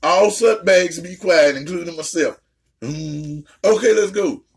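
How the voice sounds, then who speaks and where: A young adult woman hosting a casual reaction chat, talking loud and brash, swearing a lot and trash-talking playfully. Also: on the low side, measured pace, creaky. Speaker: host.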